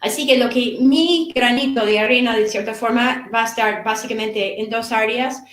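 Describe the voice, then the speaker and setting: Halting middle-aged woman in an echoey room. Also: fairly high pitch, a bit bright. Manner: halting, repeats words while searching for them. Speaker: author and course instructor. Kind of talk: seminar talk.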